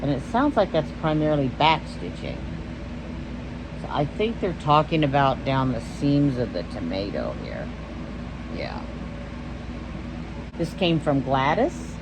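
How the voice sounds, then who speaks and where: Texas lady showing off her stitching gifts, wanders off topic a lot, air conditioner humming loud behind her. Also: a bass voice, sing-song, measured pace, long pauses, background noise. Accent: Texan. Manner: rambling, loses her train of thought. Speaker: stitching vlog host. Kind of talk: casual show-and-tell vlog.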